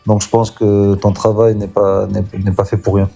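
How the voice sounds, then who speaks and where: A man as a guest on a live online talk, speaking in a calm, supportive and measured way. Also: on the low side, gravelly, faint music behind. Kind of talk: casual live chat.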